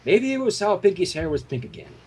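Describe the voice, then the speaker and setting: A woman's voice: on the low side, big pitch swings, brisk, a little mellow, breathy edge. A woman giving a playful, theatrical comic reading of a story, with asides to listeners. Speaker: fan fiction reader.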